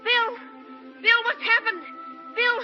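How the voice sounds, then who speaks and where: A woman's voice: very high-pitched, little pitch movement, slow. An actress playing a devoted frontier woman in a 1930s radio drama, with an urgent, emotional delivery. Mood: grieving, frantic. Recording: muffled 1930s radio broadcast with music.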